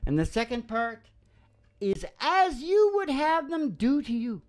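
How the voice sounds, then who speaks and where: A man's voice: very high voice, very animated, measured pace. A middle-aged man hosting a music show, giving an informal philosophical sermon in short, emphatic, folksy phrases into a close microphone. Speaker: musician and radio show host. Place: small wood-panelled room. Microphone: close stand microphone.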